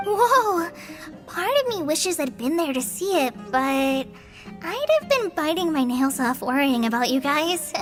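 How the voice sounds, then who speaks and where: A woman's voice: high-pitched, lively intonation, unhurried, music in the background. A woman voicing an eager, good-natured young girl in a video game, perky and a little hesitant. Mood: impressed.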